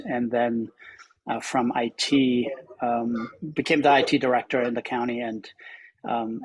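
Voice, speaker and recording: A middle-aged man from Austria, a school superintendent introducing himself on a webinar panel over a video call, speaking in a measured, steady way. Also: mid-range voice, breathy edge, poor audio.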